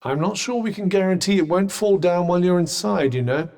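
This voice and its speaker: A man's voice: high-pitched, fairly quick, a little mellow, in a large hall. A man voicing a sardonic, witty male talking bracelet in a video game, with dry, teasing quips.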